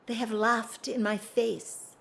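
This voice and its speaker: A woman's voice: on the high side, flat delivery, slow pace, creaky, a bit bright, exaggerated voice. An actress playing a restrained, sorrowful upper-class married lady in a period audio drama, speaking formally and holding her feelings in. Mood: fearful, melancholy. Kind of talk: stage-style period radio drama acting.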